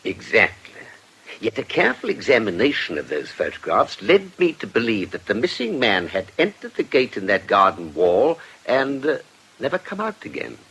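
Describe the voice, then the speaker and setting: An actor playing a genial, erudite gentleman detective in a radio drama, courtly and precise as he reasons aloud. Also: fairly low pitch, huge pitch swings, moderate pace, breathy edge, full character voice, poor audio. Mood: reasoned, probing.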